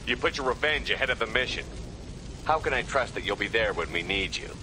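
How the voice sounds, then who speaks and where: A man's voice: mid-range voice, lively intonation, fairly quick, background noise, close to an everyday voice. A man playing a stern, principled military squad commander, firm and reproachful, in a video game cutscene.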